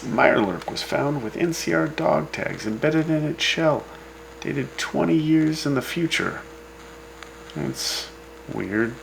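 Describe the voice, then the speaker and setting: A man hosting a scripted frontier radio news broadcast, reading the items in a dry, deadpan way with wry asides. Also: on the high side, slow pace, gravelly, slight background noise, rough recording. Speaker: radio host.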